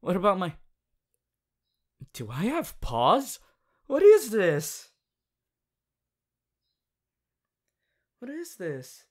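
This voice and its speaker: A woman voicing an affectionate, excitable young male anime hero turned bunny in an ASMR roleplay, with a soft, hesitant delivery. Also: medium pitch, takes it slowly, heavily acted. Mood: puzzled. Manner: hesitant, giggly, swinging from murmurs to excited exclamations. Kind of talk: ASMR audio roleplay, acted monologue.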